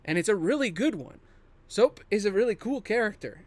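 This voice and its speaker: A man with a fairly high voice talks through ideas alone as a podcast host. His delivery is casual and unscripted, thinking out loud, cutting himself off and swearing freely as he goes. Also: animated, fairly quick, no echo. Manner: rambling, thinking aloud, self-interrupting; casually profane. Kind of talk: casual improvised solo monologue pitching film adaptations.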